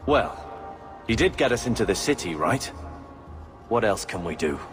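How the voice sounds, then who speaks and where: A man's voice: fairly low pitch, big pitch swings, normal speed, over music. An actor with a British accent playing a sullen, resentful young male warrior in a video game, sardonic and insistent. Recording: long reverberant echo.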